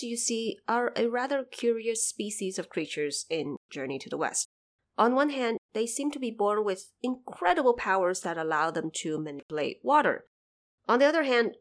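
A woman hosting a podcast, giving explanatory, lightly scripted commentary in a measured, didactic way with emphatic phrasing. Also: fairly low pitch, a bit bright. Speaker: podcast host explaining background of an audio drama series.

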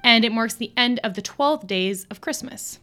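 An American woman co-hosting a podcast, reading prepared history facts in a clear, upbeat way. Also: a little high, moderate pace, loud music behind the voice. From United States. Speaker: podcast co-host. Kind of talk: scripted podcast narration.

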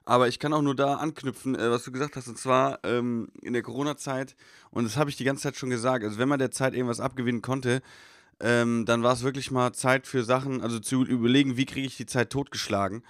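A man's voice: mid-range voice, fairly even intonation, fast, dry recording, clean studio sound. A man with a cold co-hosting a podcast, rambling casually with lots of fillers. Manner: rambling, filler-heavy talk. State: has a cold. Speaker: podcast co-host. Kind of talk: casual chat.

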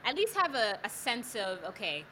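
A woman's voice: a little high, big pitch swings, normal speed, sharp tone, a little hiss or hum. A woman who grew up in the States, interviewing a guest in a chatty way, full of fillers. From United States. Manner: chatty, many fillers like "you know". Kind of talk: casual interview chat.

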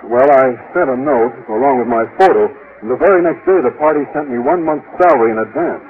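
A man's voice: mid-range voice, a little fast, close to an everyday voice. A man playing a shy, earnest biology teacher, polite and hesitant, in a 1950s radio comedy. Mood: sheepish explaining. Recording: tinny old radio broadcast recording.

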